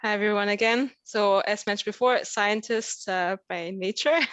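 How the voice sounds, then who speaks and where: An Austrian-born woman scientist introducing herself as a consultant on a panel, warm and quick to laugh. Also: medium pitch, smooth, no room sound. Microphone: video call.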